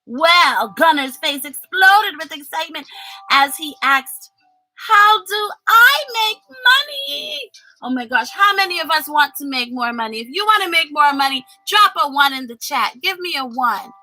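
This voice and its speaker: Woman storytime host for young children, high and very lively, expressive, draws listeners in with questions. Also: normal speed, rough recording. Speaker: teacher and read-aloud host for children. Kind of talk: animated picture-book read-aloud with audience questions.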